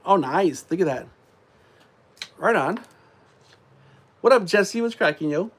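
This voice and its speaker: A congested man rambling casually on a live stream, full of fillers and frequent throat clearing. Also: sits high, lively intonation, takes it slowly.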